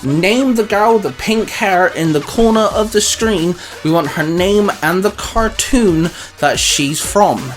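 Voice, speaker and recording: A man's voice: sits high, measured pace, few pauses, faint music behind. A man talking enthusiastically and in a rambling way, as a co-host in a casual, geeky fan chat. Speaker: co-host of a fan discussion show.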